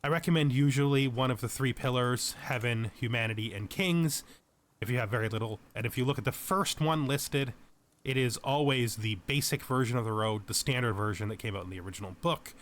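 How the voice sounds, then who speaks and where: A man's voice: medium pitch, a little fast, fairly bright tone. A man giving explanatory let's play commentary as a tutorial host, methodical and thorough in how he explains things. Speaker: game tutorial host, player.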